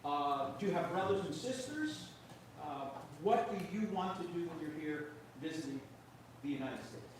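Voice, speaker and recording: Expansive male presenter, booming hall echo. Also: animated, moderate pace. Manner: warm, expansive public speaker. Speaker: presenter introducing guests. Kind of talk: introducing a youth program. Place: large echoey meeting room. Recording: echoey hall, distant mic, background noise.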